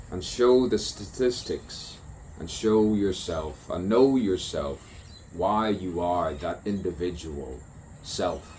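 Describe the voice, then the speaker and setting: Man, unhurried delivery, musing poetic monologue, repeated rhyming phrases, faint insect ambience. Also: fairly low pitch, darkish tone, a little hiss or hum. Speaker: poet. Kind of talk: stream-of-consciousness spoken poetry monologue.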